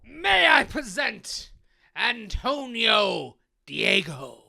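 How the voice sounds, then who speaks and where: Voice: medium pitch, very animated, drawn-out words, gravelly, sharp tone, a little hiss or hum, heavily acted. An actor playing a gleeful mad male scientist in an audio drama, speaking in a theatrical, gloating, declamatory way. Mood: smug showmanship. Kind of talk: hobby audio drama villain acting.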